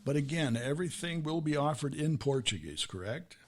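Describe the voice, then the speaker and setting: A man's voice: fairly high pitch, lively intonation, a little fast. A middle-aged American man hosting a podcast interview, asking questions in a loose, rambling way with restarts. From United States. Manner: conversational, asks questions in rambling runs with restarts. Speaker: podcast host.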